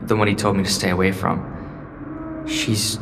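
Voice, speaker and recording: A man's voice: on the low side, huge pitch swings, a little slow, full character voice. A man playing a puzzled teenage boy adventurer, thinking aloud in a sci-fi audio drama. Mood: curious, uneasy. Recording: long reverb, faint ambient noise.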